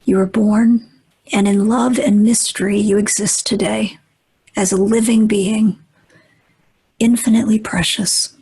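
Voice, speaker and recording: Breathy, husky woman guiding meditation slowly. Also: medium pitch, steady tone, rough recording. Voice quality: breathy, husky, often near whisper. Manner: gentle, deliberate instruction with long pauses. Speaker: meditation guide. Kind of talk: guided meditation.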